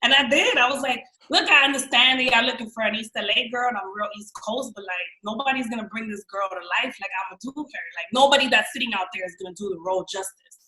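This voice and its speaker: A young woman with a New York accent, telling stories as a podcast guest in an animated, slangy way. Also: fairly high pitch, quick pace, echoey room, low-quality recording. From New York, raised in Miami. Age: young adult. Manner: animated, slangy, quotes people in voices. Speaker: guest actress. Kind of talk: casual storytelling chat.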